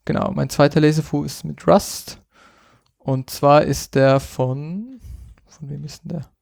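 A man co-hosting a podcast, chatting casually about software and thinking aloud with lots of filler sounds. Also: fairly high pitch, a little slow, vocal fry, dry recording, studio quality. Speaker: podcast co-host. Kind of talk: casual tech chat.

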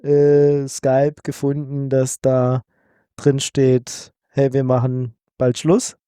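A man's voice: mid-range voice, monotone, slow pace, mellow, clean tone, dry recording, clean studio sound. A man co-hosting a casual tech podcast, rambling with many fillers and restarts. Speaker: podcast co-host. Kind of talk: casual tech news chat.

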